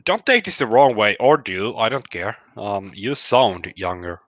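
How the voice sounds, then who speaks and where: A man's voice: fairly low pitch, lively intonation, unhurried, no echo, dull audio. A man chatting casually, swearing often and joking darkly. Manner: crude, swearing, dark humor. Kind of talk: casual chat.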